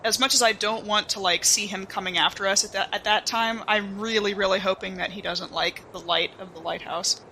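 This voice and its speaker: Woman, bright forceful voice, mid pitch, casual game-table chat, faint noise. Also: steady tone, brisk, hardly any pauses, poor audio.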